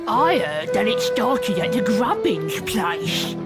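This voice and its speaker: A woman's voice: mid-range voice, sing-song, takes it slowly. A woman playing a gossipy, credulous medieval peasant with folksy speech in a comedy audio drama, with music behind her.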